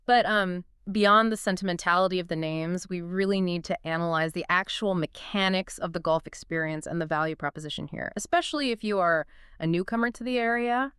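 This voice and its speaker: A woman's voice: fairly low pitch, a little fast, hardly any pauses, a bit bright, clean tone, no echo, studio quality. A woman co-hosting a podcast-style explainer, articulate and emphatic, in a conversational but scripted-sounding way. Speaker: co-host.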